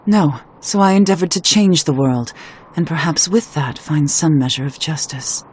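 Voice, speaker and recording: Low-voiced, proud, resolute witch. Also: a little mellow, husky. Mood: resolute, somber. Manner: formal, measured, archaic phrasing. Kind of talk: scripted video game voice acting.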